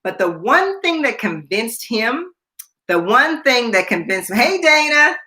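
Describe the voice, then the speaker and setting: Woman in her sixties, bright forceful tone, live-stream host, chatty, repeats herself. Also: fairly high pitch, sing-song, measured pace. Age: 60s. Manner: chatty, reads viewer comments aloud, repeats herself. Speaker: livestream host. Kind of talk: casual live-stream chat.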